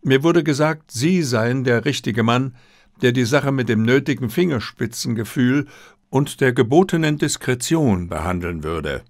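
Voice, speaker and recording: A man voicing a formal, discreet Japanese diplomat in an audiobook, with courteous, measured wording. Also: medium pitch, lively intonation, dry recording, clean studio sound, voice pushed up, lightly acted. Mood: grave, discreet. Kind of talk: audiobook character dialogue.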